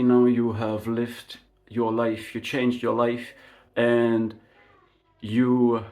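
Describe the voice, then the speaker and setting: A man whose first language is German, giving an unscripted spiritual monologue to his channel in a slow, reflective way, full of fillers and tag questions like "right?". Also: medium pitch, darkish tone. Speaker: spiritual vlogger.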